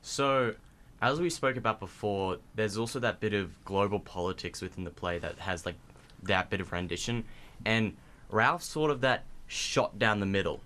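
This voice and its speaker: A man's voice: fairly low pitch, lively intonation, normal speed, fairly bright tone. A young man, a drama student explaining casually in an interview. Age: young adult.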